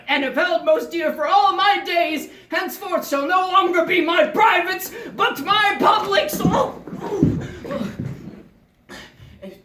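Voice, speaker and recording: A man playing a terrified condemned prisoner who feigns calm, stammering through a theatrical, old-fashioned comic monologue on stage. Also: extremely high pitch. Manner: stammering, halting, mock-archaic bluster. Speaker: stage actor. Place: indoor theatre stage, recorded from afar. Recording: roomy distant stage recording, some noise.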